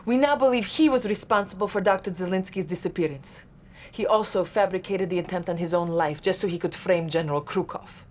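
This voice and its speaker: A woman's voice: fairly low pitch, slight background noise, lightly acted. An actress playing a devoted Soviet intelligence officer with a Russian accent, solemnly rousing her commander in a video game. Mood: indignant. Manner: solemn, rousing, addresses listener as comrade. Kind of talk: dramatic video game cutscene acting. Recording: loud music behind. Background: orchestral game music.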